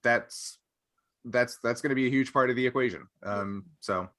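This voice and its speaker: Bright, forceful-toned man explaining casually. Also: medium pitch, normal speed. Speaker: podcast panelist. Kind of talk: casual opinionated discussion.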